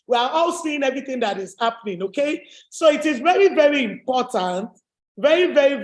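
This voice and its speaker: Deep-voiced woman coaching a webinar. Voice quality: deep, low voice. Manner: emphatic, repetitive, rallying. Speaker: webinar host and rhinestone business coach. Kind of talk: motivational webinar talk. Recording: echoey room.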